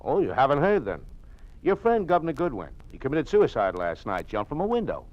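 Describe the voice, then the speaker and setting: Muffled old radio sound, smug party functionary, swooping intonation, insinuating, quietly threatening. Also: male voice, medium pitch, huge pitch swings, fairly quick. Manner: cryptic, insinuating. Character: sly, smugly threatening male party functionary. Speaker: actor. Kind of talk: old-time radio drama acting. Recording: dull, lo-fi old radio recording.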